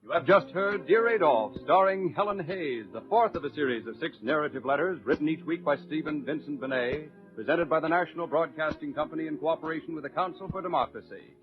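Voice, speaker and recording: A man's voice: on the high side, a little fast. An American man announcing formally in 1940s broadcast style on a muffled old recording. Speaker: radio announcer. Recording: muffled old radio recording, music behind. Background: music.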